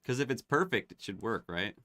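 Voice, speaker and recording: A man hosting a live online demo, chatting casually and getting excited as he tests tools. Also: mid-range voice, a little fast, dry recording. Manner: excitable, laughs and exclaims while testing tools.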